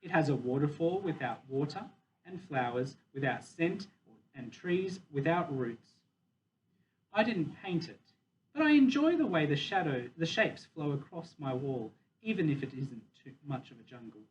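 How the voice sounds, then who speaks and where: A man voicing a proud, wistful, dignified captive silverback gorilla in a measured, deliberate storybook reading. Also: a little high, lively intonation, close to an everyday voice. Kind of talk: children's novel read aloud in first person. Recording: dull, distant mic with faint noise.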